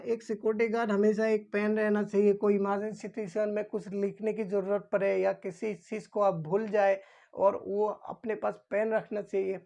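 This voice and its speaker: A woman's voice: mid-range voice, gravelly, darkish tone. A woman instructor giving an informal explanatory lesson, repeating key points and answering her own questions.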